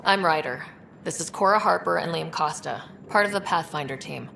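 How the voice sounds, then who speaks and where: A woman's voice: low voice, moderate pace, vocal fry, sharp tone, a little hiss or hum, full character voice. An actress playing an earnest young woman who leads explorers in a video game. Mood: friendly, curious. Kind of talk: video game cutscene acting. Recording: reverberant hall with music behind.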